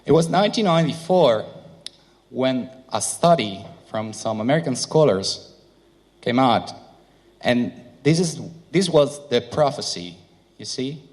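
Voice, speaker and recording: A man whose first language is not English, giving a seminar talk through an interpreter, with many pauses and fillers. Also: medium pitch, expressive, darkish tone. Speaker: seminar speaker. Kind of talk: conference presentation with interpreter. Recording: hall reverb.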